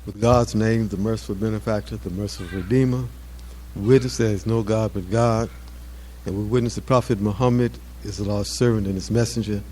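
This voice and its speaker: A man in his seventies giving an informal off-the-cuff address to his community, halting and often repeating words as he searches for phrases. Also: fairly low pitch, steady tone, mellow, slight background noise. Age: 70s. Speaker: mosque community elder speaking at an anniversary event.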